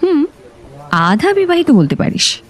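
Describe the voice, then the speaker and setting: Woman acting a teasing, animated office gossip. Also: high-pitched, a little slow. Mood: teasing, gossipy. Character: teasing senior female office colleague, chatty and nosy. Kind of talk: audio story acting.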